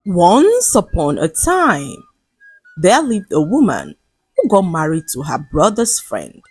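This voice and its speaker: A woman narrating a scripted folktale in a measured, dramatic storytelling manner. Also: lowish voice, wildly swooping pitch, a little mellow, faint music behind. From Nigeria.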